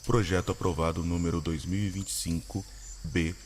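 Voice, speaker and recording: A man's voice: deep voice, level tone, moderate pace, a little hiss or hum. A Brazilian man delivering formal scripted announcements as an announcer.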